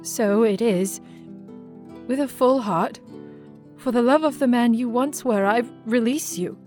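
An actress playing a sorrowful, resolute young woman with an earnest, deliberate delivery in an audio play. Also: fairly high pitch, darkish tone, soft background music, lightly acted. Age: young adult.

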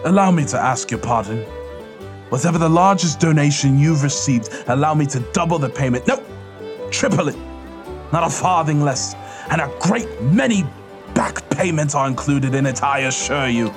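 An actor playing a giddy, generous old reformed miser in a theatrical, effusive audio drama style. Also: male voice, on the high side, lively intonation, normal speed, music in the background. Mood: joyful, effusive.

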